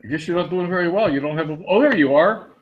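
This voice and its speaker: A man's voice: fairly high pitch, expressive, quick pace. A webinar host with a Pennsylvania Dutch accent and a slightly raised voice chats loosely with his audience, calling people out by name. The audio is dull and lo-fi. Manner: chatty, calls on viewers by name. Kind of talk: live webinar chat.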